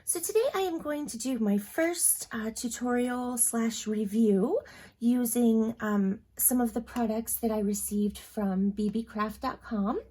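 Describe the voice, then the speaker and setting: A woman's voice: fairly high pitch, measured pace, mellow. A woman hosting a crafting tutorial at home, chatting casually with lots of ums and asides, a little sniffly from allergies. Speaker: crafting channel host.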